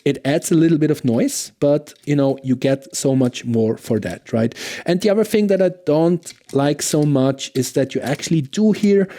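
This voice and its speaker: A man's voice: fairly high pitch, normal speed, dark tone. A man giving a casual, conversational product review as a gear demonstrator, enthusiastic and often checking in with the listener.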